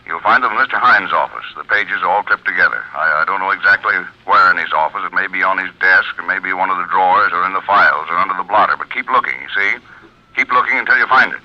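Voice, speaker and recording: Brisk, low-voiced lawyer boss. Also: male voice, expressive. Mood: brisk, hurried. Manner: businesslike, insistent. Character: brisk, busy male lawyer boss giving orders. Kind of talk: 1940s radio drama acting. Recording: dull old radio broadcast recording.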